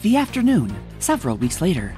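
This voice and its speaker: A woman's voice: fairly low pitch, huge pitch swings, fairly quick, mellow, pitched below the performer's own voice. A woman reading scripted caption narration for a comic dub in a theatrical storytelling style. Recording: voice over background music.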